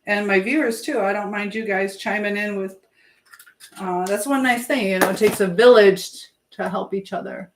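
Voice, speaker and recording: A woman's voice: medium pitch, fairly even intonation, moderate pace. A woman hosting a casual live chat from her kitchen, talking informally to viewers while she cooks. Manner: chatty, reads viewer messages aloud and questions them. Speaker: live stream host giving advice. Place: indoors in a kitchen. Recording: echoey room with background noise.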